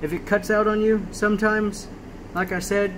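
A man giving a casual, off-the-cuff tutorial in a halting way with frequent check-ins, recorded on a handheld phone indoors. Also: high-pitched. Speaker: tutorial maker. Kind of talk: casual off-the-cuff screen walkthrough. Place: small room indoors at a laptop. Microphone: handheld phone camera filming the screen. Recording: noisy home phone video, steady hum.

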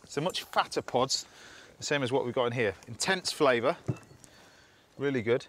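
A man, a TV chef chatting through a cooking demonstration outdoors, instructive and quick to laugh. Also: medium pitch, lively intonation, fairly bright tone, a little hiss or hum. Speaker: TV chef host. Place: outdoors at a campsite.

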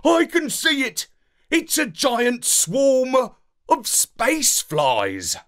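A man voicing an excitable, loving, dramatic space dog who narrates a children's story with theatrical enthusiasm. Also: very high voice, very slow, exaggerated voice. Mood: wondering.

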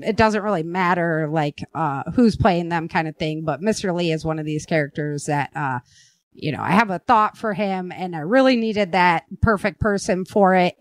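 A woman's voice: on the low side, dry recording. A woman hosting a podcast, chatting and interviewing in an enthusiastic, informal way. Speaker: podcast host. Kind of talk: upbeat casual interview chat.

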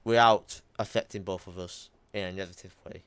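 A man's voice: low voice, fairly even intonation, brisk, a bit bright, clean tone. A young man talking casually on a call from his home gaming room, blunt and emphatic, swearing now and then. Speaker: YouTuber.

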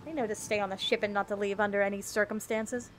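A woman's voice: medium pitch, level tone, fast talker, faint music behind, natural voice. A woman playing a resolute, decisive female leader of a ship's crew in an audio drama.

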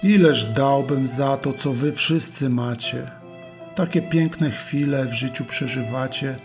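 A man playing a wistful, resigned paralysed man in a rhymed Bible audio drama for children, with measured delivery. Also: mid-range voice, music in the background.

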